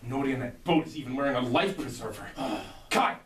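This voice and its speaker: A man playing a bossy, over-excited patrol officer in a comic audio drama, clipped and self-important. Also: medium pitch, fast, crowd noise, natural voice. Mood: urgent, officious. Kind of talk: full-cast audio drama acting. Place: indoor audio drama recording session. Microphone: small video camera, away from the actor. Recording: roomy, distant small video camera microphone.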